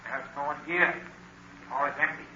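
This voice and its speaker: A man's voice: on the high side, steady tone, a little fast, heavy background noise, natural voice. An actor playing a dutiful, wary chief henchman, earnest and deferential, in a 1930s radio thriller. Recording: lo-fi, raspy old radio recording.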